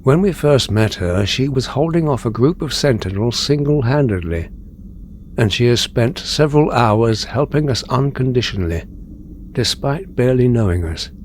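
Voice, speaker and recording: A male actor plays a dignified older man who leads with calm authority. His medium-pitched voice is formal and measured, with deliberate diction, in an audio drama with some background noise. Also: close to an everyday voice. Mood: grave, composed.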